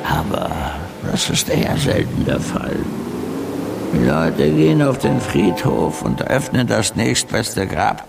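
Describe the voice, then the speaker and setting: An actor playing a grave expert on occult religion in a radio drama, explaining in a solemn, deliberate manner. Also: male voice, lowish voice, very animated, vocal fry, heavily acted. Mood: grave, ominous. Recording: music under the voice.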